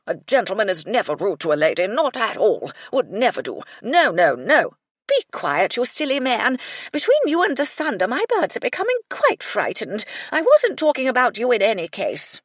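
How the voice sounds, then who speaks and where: A high, gushing old society lady in an audiobook, put on by a woman reader. She is haughty and fluttery, her voice soaring up and down loudly, with a husky grain underneath. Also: wildly swooping pitch, moderate pace, no room sound. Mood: scolding. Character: eccentric elderly dowager fussing over imaginary pet birds.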